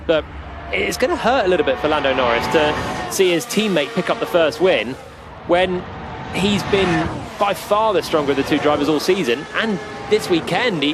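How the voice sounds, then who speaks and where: A man giving relaxed, analytical co-commentary on a race. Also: a little high. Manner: conversational, thoughtful asides. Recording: engine noise in background.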